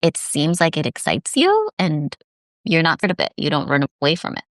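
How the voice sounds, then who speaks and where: A woman's voice: deep voice, huge pitch swings, normal speed, no echo, clean studio sound. A woman hosting a podcast interview, encouraging her guest with short affirmations and eager follow-up questions. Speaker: podcast host. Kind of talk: casual interview chat.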